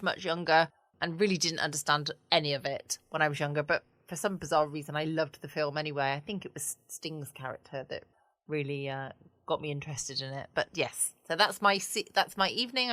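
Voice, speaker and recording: A woman's voice: low voice, a bit bright, no room sound. A woman chatting casually and wryly as the host of a late-night bedtime podcast. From United Kingdom.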